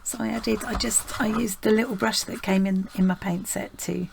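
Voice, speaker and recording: A woman with a croaky voice from a cold, narrating a casual craft vlog in a chatty, rambling way with many ums. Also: medium pitch, fairly even intonation, moderate pace, a little hiss or hum. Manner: chatty, meandering, many ums, drops to a whisper at times. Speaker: art channel host.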